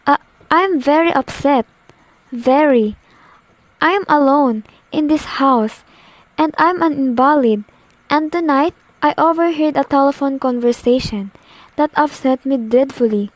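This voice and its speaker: A woman's voice: sits high, dry recording. A young woman acting a nervous, demanding bedridden woman alone at home in an amateur radio play. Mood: upset, insistent. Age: teenager. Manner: imperious, nervous, halting delivery.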